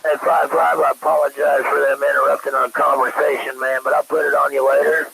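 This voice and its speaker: A man's voice: a little high, little pitch movement, brisk, gravelly. A man chatting casually over CB radio in a joking, coarse manner. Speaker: CB radio operator. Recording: static-laden CB radio transmission.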